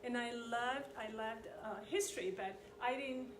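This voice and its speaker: A middle-aged woman, speaking a little higher than most women, walks an audience through history in a loose, conversational way and keeps checking in with them. She is picked up from across an echoey meeting room. Steady background noise sits under her voice. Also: unhurried, far from the mic. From Chile. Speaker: community presenter on immigration history. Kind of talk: informal lecture to an audience.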